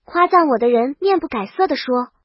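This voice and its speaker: Woman storyteller, high voice, comic first-person narration, very dry but dull lo-fi sound. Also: lively intonation, fairly quick, no echo. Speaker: audiobook narrator.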